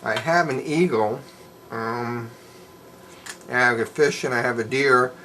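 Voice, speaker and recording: A middle-aged man talks slowly through his handiwork at a bench, a little hoarse. His voice sits at an ordinary middle pitch and comes through a camera mic. A light background noise hangs behind him. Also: sharp tone. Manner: plain, folksy, unhurried explaining with fillers. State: slightly hoarse, losing his voice. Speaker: leatherworker showing his work. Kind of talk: casual show-and-tell demonstration. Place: indoors at a workbench.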